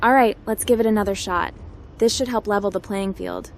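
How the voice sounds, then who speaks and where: A woman's voice: mid-range voice, a little fast, slight background noise, close to an everyday voice. A woman playing a cocky young hacker pilot who talks herself through things in a roleplay game. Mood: wry, determined. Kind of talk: fully voiced roleplay game protagonist. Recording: loud music under the voice.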